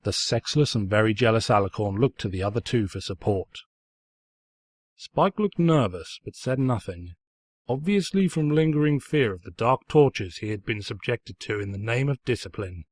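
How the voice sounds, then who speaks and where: A man's voice: lowish voice, animated, moderate pace, no echo, clean studio sound. A man reading fan fiction aloud as scripted story narration, in a pompous, snide storyteller's manner.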